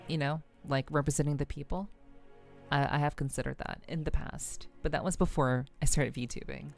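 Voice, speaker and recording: A woman talks casually to her livestream viewers. She rambles in a halting way, circling back over her own phrases. Faint music plays behind her. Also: low-pitched, steady tone, gravelly. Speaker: VTuber streamer.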